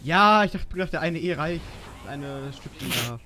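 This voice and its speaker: A man's voice: on the high side, animated, normal speed, noisy background. A young man in casual, slangy, sweary banter with a friend during a video game. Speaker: gamer, co-player. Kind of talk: casual gaming chat with a friend.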